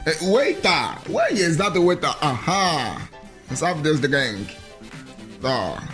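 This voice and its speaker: An actor playing a genial, commanding ringleader of political plotters with a Nigerian accent in a radio drama. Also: male voice, a little high, wildly swooping pitch, slow pace, a bit bright, faint music behind, exaggerated voice. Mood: genial, triumphant.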